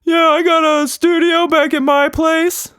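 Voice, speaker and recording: A man voicing an excitable, boastful, playful monster with casual, slangy talk in a children's story. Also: very high-pitched, measured pace, no room sound, clean studio sound, pitched above the performer's own voice. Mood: casual, cool.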